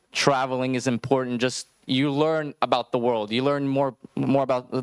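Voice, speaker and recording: Young man, influencer, casual panel talk, clear smooth voice, dry recording. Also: medium pitch, no echo. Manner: casual, relaxed, loose phrasing. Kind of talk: panel discussion.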